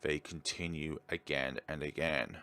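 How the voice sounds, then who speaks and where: A man hosting a game playthrough, reading the text aloud and commenting in an earnest, deliberate, theatrical way. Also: rumbling low, sharp tone. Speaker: video game playthrough host. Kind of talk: dramatic read-aloud of game text with his own commentary.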